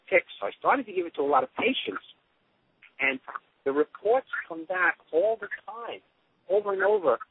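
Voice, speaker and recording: A man speaking as a doctor giving an informal testimonial on a conference call, rambling and often restarting his phrases. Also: medium pitch. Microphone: telephone. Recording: tinny phone line with clear noise.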